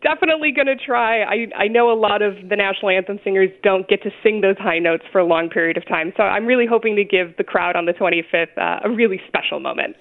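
A woman opera singer, chatty and quick to laugh, being interviewed over the phone. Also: mid-range voice. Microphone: telephone. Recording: tinny phone line.

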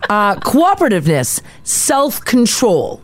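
A young adult woman with a lower-pitched voice, co-hosting a casual morning radio show and reading study findings in a conversational way. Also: wildly swooping pitch, unhurried.

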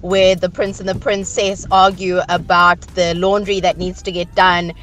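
A woman's voice: lowish voice, little pitch movement, moderate pace, fairly bright tone, low-quality recording. A middle-aged woman giving a casual personal opinion as a listener on a radio show. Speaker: radio listener sending a message.

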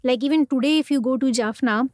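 Indian woman, young, talks about her trips all chatty with lots of um, kinda high voice, dry close sound. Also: fast, a little mellow, no echo. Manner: chatty, many fillers and restarts. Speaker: interview guest, traveler. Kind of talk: casual conversational storytelling.

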